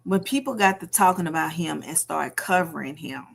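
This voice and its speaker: A woman's voice: sits low, normal speed, vocal fry, slightly rough. A woman giving casual livestream gossip commentary, telling stories emphatically, acting out quotes and repeating phrases for effect. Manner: animated storytelling, acts out quotes. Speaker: livestream host.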